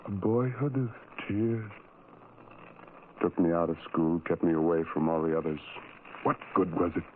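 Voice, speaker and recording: A man's voice: low-pitched, fairly even intonation, normal speed, narrow, tinny audio, slight background noise, lightly acted. Man acting a tormented outcast, thin radio sound. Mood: brooding, sorrowful. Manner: anguished, brooding, repeats phrases obsessively. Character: tormented, self-loathing ugly man, a sideshow freak narrator. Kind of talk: 1970s radio drama acting, first-person monologue. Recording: thin vintage radio sound, music underneath.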